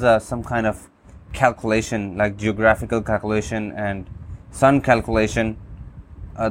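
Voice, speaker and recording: A man whose first language is Urdu, calmly demonstrating a phone app, with many fillers and restarts. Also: fairly low pitch, a little hiss or hum. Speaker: app reviewer.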